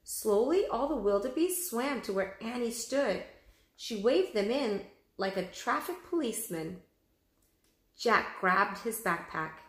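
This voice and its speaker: A woman's voice: on the high side, expressive, measured pace. A woman teacher reading a children's story aloud expressively, addressing young pupils. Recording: echoey room.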